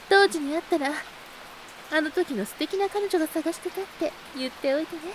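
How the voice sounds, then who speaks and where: A woman's voice: high-pitched, normal speed, a little hiss or hum, close to an everyday voice, poor audio. A woman voicing a teasing, seductive, spiteful adult woman in a Japanese audio drama, with a coy, taunting delivery. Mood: smug seduction. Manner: coy, taunting, breathy giggles between phrases.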